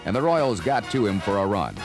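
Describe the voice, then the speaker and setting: A man's voice: on the low side, very animated, brisk. A man reading a scripted sports season documentary as narrator, with a dramatic storytelling delivery. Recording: music behind, old vinyl record. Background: music.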